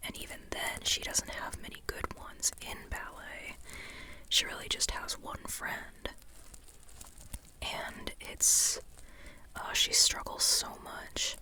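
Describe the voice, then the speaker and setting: An ASMR host speaks in a steady whisper, taking their time over each phrase. The delivery is soft and informal, rambling along and circling back to repeat words. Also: slow. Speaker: ASMR host reviewing a book.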